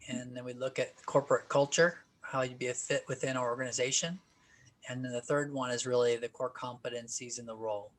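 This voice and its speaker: A man leads a practice job interview, asking questions at a measured pace. His pitch is mid-range but held very level, so his voice sounds flat and even. He drops in an occasional "uh.".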